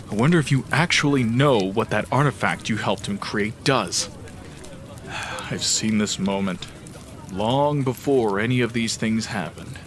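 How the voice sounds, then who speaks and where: Solemn, measured immortal lord played by a man. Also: mid-range voice, a bit bright, a little hiss or hum. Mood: grave reproach. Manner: measured, grave, admonishing. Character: solemn, wise male celestial elder brother, calm and reproving. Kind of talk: fantasy audio drama acting.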